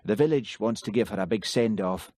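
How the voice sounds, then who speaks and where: A man reading an audiobook, voicing a Highland village policeman who talks plainly and questions firmly. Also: fairly quick, dark tone, dry recording, clean studio sound, close to an everyday voice. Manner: plain-spoken, firm questioning. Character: Highland village policeman, easygoing but stern. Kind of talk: audiobook character voice.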